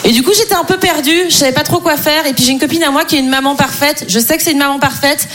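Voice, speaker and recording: A woman stand-up comedian talks quickly in a fairly high voice. She is conversational and sardonic, tossing questioning tags to the room as she tells her routine. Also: fairly bright tone, slight background noise. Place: live stage before an audience.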